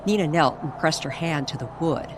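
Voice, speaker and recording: A woman narrating a scripted, old-time radio style mystery story with deliberate, suspenseful phrasing. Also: low voice, background noise. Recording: music playing behind the voice. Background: music.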